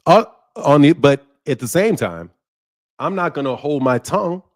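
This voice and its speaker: A man's voice: on the high side, animated, moderate pace. A man in his mid-fifties hosting a boxing channel, talking bluntly and heatedly to his viewers and laughing often. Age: 50s. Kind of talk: live commentary to viewers.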